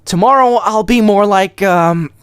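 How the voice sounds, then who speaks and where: A man voicing an excitable, scatterbrained young male cat with a florid, rambling, theatrical delivery in a comic dub. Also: high-pitched, measured pace, clear, resonant tone, no room sound, clean studio sound. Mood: flustered, eager. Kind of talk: animated comic dub acting.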